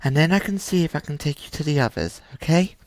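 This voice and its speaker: Girl doing a low calm robot caretaker for a comic dub, soothing n protective, super dry sound. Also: no room sound. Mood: gentle. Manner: measured, soothing. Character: calm, protective animatronic caretaker, patient and reassuring. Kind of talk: amateur comic dub acting.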